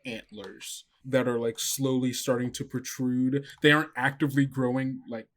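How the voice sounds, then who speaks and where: A man working as game master, improvising descriptive, halting narration for a tabletop game. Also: mid-range voice, little pitch movement.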